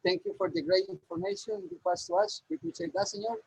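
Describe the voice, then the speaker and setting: A man from Costa Rica, a native Spanish speaker with a Costa Rican accent, politely asking a question on a video call in halting English. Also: extremely high pitch, wildly swooping pitch, gravelly, a little mellow, no echo, poor audio. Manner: polite, halting, searching for words. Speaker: conference attendee asking a question. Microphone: computer mic on a video call.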